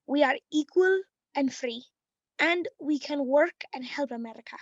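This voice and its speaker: A woman playing an earnest, hopeful young refugee child in a 1930s radio play, speaking halting, careful English. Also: sits high, very noisy. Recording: dull, dry lo-fi radio recording.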